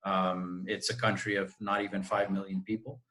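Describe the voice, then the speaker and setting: A man's voice: low voice, level tone, normal speed, pushed and bright. A man from Costa Rica, a real estate agent, talking at length in a friendly way on a video call interview. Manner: friendly, talkative, explains at length. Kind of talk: interview answers.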